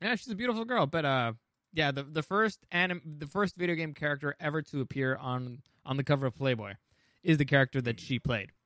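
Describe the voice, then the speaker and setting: A man's voice: on the high side, a little fast, a bit bright, dry recording. A man co-hosting a casual talk show, giving blunt opinions with some swearing and stammered restarts as he runs through a movie ranking. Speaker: podcast co-host.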